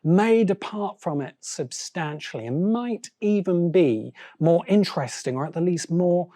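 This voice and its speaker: A man narrating a scripted video essay as host, phrasing deliberately with pauses for emphasis. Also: high-pitched, lively intonation, warm tone, no room sound. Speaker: host, science fiction critic.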